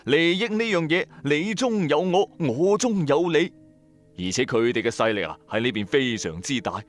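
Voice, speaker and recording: A man's voice: fairly high pitch, expressive, normal speed, natural voice. A man playing a bankrupt, hot-tempered trader who narrates his own story in colloquial, emphatic style for a radio drama. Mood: anxious, aggrieved. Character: bankrupt male electronics trader, hard-pressed, hot-tempered, streetwise. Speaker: first-person narrator and lead. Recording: background music under voice. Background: music.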